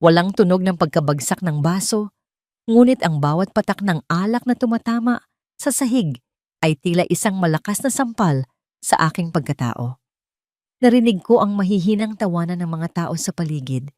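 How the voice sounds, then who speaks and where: A woman narrating a scripted first-person drama story in a measured, melodramatic manner. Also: lowish voice, animated, a little mellow, dry recording, studio quality.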